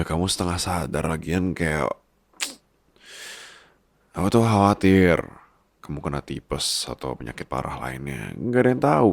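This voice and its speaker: A man performs a worried, babyish boss husband with an exceptionally deep voice. He coaxes and teases tenderly in an intimate ASMR roleplay. His speech has a creaky fry and is recorded very dry and close. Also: dry recording. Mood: fretful, scolding. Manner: tender, coaxing, playful teasing. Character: doting, worried boss husband, big-baby clingy and teasing. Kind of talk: intimate ASMR boyfriend-style roleplay in casual talk.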